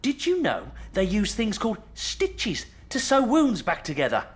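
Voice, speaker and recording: A man's voice: high-pitched, huge pitch swings, a little slow, heavily acted. An actor playing a genial, curious middle-aged father wizard in a video game, with a British accent. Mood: fascinated. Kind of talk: video game cutscene acting.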